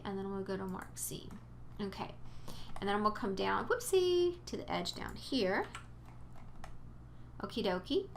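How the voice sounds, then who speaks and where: Woman, casual tutorial host, bright sound, sniffly, chatty and rambling. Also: mid-range voice, slight background noise. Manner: chatty, rambling, with fillers and cute exclamations. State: sniffly from allergies. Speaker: 3D modeling tutor. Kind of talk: casual screen-recorded tutorial.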